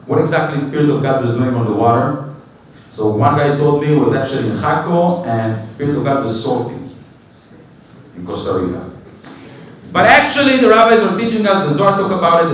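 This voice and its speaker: A man teaches Torah to a live group, animated and quick to joke. His voice rings through a large, very echoey hall. It is picked up from some distance away, with faint room hum behind him. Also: a little high. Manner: animated, jokes with audience, breaks into short chanted tunes. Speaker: rabbi giving a weekly class. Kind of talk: informal Torah class.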